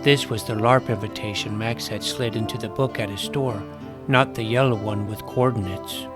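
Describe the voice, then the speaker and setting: A man's voice: fairly low pitch, steady tone, normal speed, close to an everyday voice. A man playing a naive, self-doubting, geeky boy who narrates his fantasy adventure in a wry, youthful, earnest way. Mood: realization. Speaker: narrator and lead character. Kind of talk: first-person scripted fantasy narration and dialogue. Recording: music behind the voice.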